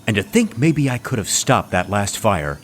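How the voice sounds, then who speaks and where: A pompous, theatrical snob. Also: male voice, fairly low pitch, sing-song, moderate pace, a little hiss or hum. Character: pompous, vain male agency snob. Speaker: Bowden Montcrief. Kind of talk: comedy audio drama acting.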